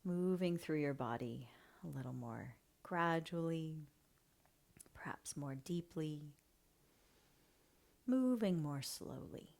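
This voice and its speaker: A woman guides a breathing meditation in a low, gentle voice. She talks very slowly and leaves long pauses. Many phrases trail off into a soft half-whisper. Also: expressive, vocal fry. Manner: slow, soft and soothing, often half-whispered.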